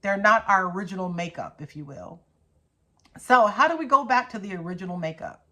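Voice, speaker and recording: A woman's voice: medium pitch, lively intonation, fairly quick, bright, forceful tone. A middle-aged woman hosting a faith teaching channel, talking casually to camera with an earnest, emphatic manner that leans toward preaching. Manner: earnest, emphatic, with rhetorical questions and repetition.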